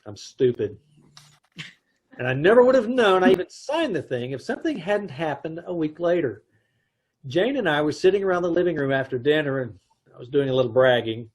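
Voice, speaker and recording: Man as exasperated husband-narrator, husky, lively delivery; 1930s radio comedy. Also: a little high, fairly quick. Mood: wry narration. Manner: exasperated, wry. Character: put-upon husband, adman Mister Ace. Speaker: narrator of the story. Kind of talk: amateur old-time radio play reading.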